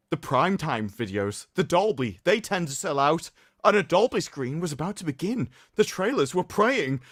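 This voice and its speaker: Theatrical, sarcastic man with an animated voice. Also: mid-range voice, dry recording, studio quality. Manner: theatrical, sarcastic, mock-solemn. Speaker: livestream host. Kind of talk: mock-dramatic reading with commentary.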